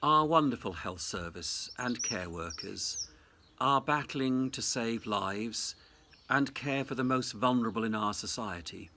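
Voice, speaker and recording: A man, a cathedral dean, giving a reflective Easter message in measured, deliberate phrases. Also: medium pitch, animated, pushed and bright, a little hiss or hum.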